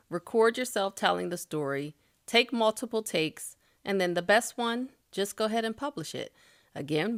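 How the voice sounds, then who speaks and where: A woman's voice: on the low side, dry recording, clean studio sound. A middle-aged woman giving YouTube tips in a casual, chatty way, with light laughs and loose restarts. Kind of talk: casual spoken tutorial.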